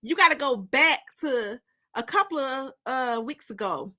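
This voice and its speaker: High, bright, theatrical woman storyteller. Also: a little slow. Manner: expressive, theatrical. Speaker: author and host of live story time. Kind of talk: casual livestream chat and dramatic book narration.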